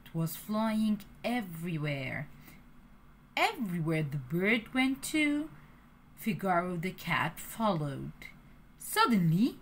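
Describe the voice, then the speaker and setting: A woman reading a children's storybook aloud, animated and addressing her young listeners. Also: lowish voice, slow pace, slight background noise.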